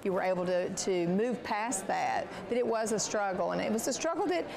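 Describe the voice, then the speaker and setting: A woman's voice: mid-range voice, animated, brisk, gravelly, crowd noise. A woman interviewing a guest, asking questions with many fillers and restarts. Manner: searching, filler-heavy phrasing. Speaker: interviewer, state official.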